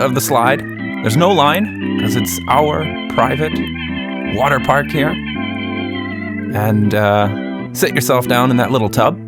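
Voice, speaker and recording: A middle-aged man hosting and telling a children's story in a playful, conversational manner. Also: mid-range voice. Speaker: podcast host and storyteller.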